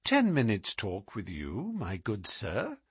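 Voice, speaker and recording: A man's voice: on the high side, big pitch swings, normal speed, a bit bright, breathy edge, dry recording, clean studio sound, exaggerated voice. A man voicing a self-assured, courteous but commanding detective in a narrated mystery story. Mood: suavely polite.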